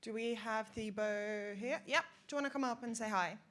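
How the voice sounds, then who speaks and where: A woman's voice: a little high, normal speed, heavy background noise. A woman hosting a weekly office breakfast meeting and introducing newcomers. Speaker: meeting host.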